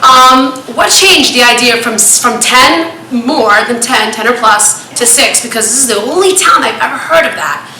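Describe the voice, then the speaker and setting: Loud-voiced woman questioning a town board. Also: on the high side, lively intonation, moderate pace, hardly any pauses. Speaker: resident speaking at public hearing. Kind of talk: public comment at a town meeting. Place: town hall meeting room. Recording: echoey meeting room with light noise.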